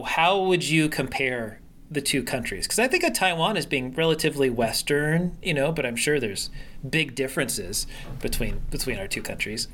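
A man's voice: fairly high pitch, pushed and bright. A man hosting a podcast interview, upbeat and enthusiastic, a bit rambling. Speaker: podcast host. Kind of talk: interview conversation.

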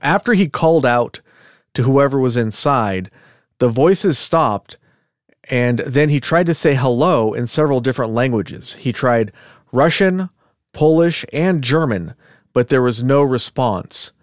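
A man co-hosting a podcast, retelling a story in a chatty, relaxed way with fillers and asides. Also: mid-range voice, measured pace, dry recording, studio quality. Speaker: podcast co-host. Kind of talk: casual storytelling of a case.

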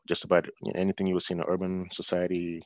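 A man's voice: low-pitched, monotone, fast, darkish tone, no echo, muffled recording. A middle-aged man, a guest in a casual interview, talking thoughtfully with many fillers and restarts. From Nigeria and the US.

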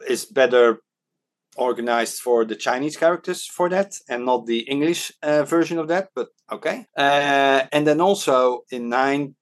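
A Dutch man talks through his points in a relaxed interview, stopping often on "uh" and restarting his words. His voice sits at an ordinary middle pitch for a man. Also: a little slow. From Netherlands. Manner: hesitant, many fillers and restarts. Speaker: podcast guest, startup advisor. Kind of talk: casual interview talk. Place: Hong Kong.

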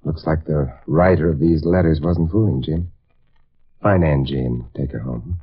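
An actor playing a suave amateur sleuth with dry, teasing wit in a 1950s radio drama. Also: male voice, extremely low pitch, moderate pace, slightly rough. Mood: grave, calm. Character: suave, wry adult male amateur sleuth. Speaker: radio actor, series lead. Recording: muffled vintage radio recording.

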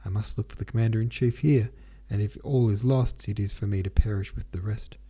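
A man's voice: on the low side, steady tone, brisk, dark tone, breathy edge. A man voicing an earnest, anxious young hussar officer in an audiobook, urgent and questioning. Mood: anxious resolve.

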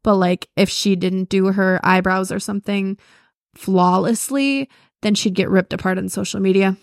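A woman's voice: medium pitch, steady tone, normal speed, gravelly, no room sound, clean studio sound. Chatty young woman podcast co-host. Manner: chatty, lots of "like". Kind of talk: casual chat.

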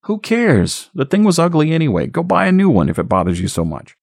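A hungover, bossy slob snaps orders in a rough, dismissive voice, as a man puts him on in a storybook reading. The sound is close and dry. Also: a little high, wildly swooping pitch, a little fast, dry recording, voice pushed up, heavily acted. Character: surly, hungover lout, the boyfriend.

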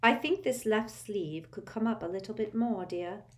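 A flustered older witch shopkeeper from a storybook, her voice climbing high and fluttery. She frets and pleads in a rush, as a woman reader acts her out. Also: normal speed, a little mellow, close to an everyday voice.

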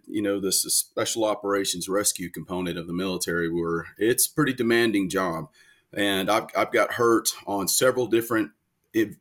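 A man in his forties from North Louisiana, a veteran guest telling detailed stories in a casual interview, earnest and full of fillers. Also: low voice. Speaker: guest, military veteran and country singer.

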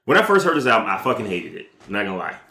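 A man's voice: lowish voice, rapid-fire, creaky. An American man casually talking music as a podcast host, telling it in slangy, profane language. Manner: slangy, profane, animated storytelling. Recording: roomy, echoey room.

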